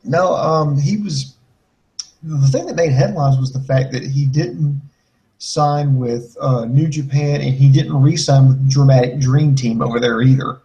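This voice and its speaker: A man with a mid-pitched voice co-hosts a wrestling podcast. He chats casually through listener questions and news, with a blunt and joking way of talking. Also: measured pace, dark tone, dull audio. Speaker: podcast co-host.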